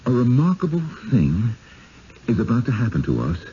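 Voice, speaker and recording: An actor playing a skeptical, wary husband in 1970s radio drama style, speaking in an urgent, halting but controlled way. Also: male voice, medium pitch, wildly swooping pitch, dark tone. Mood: falsely calm. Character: skeptical, wary husband trapped in sinister village. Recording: dull, hissy old radio broadcast.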